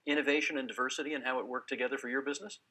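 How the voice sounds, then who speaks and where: Man, panel host, husky voice, rapid delivery, bright tone, brisk questions. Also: medium pitch, steady tone. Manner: brisk, quick follow-up questions. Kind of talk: panel interview.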